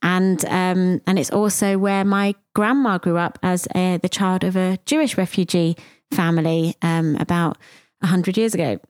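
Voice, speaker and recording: A woman, hosting a conversational podcast and telling her story in a reflective way with many pauses. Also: on the low side, fairly even intonation, darkish tone, no room sound, studio quality. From England. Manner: reflective, with frequent ums and pauses. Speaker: podcast host and cafe founder.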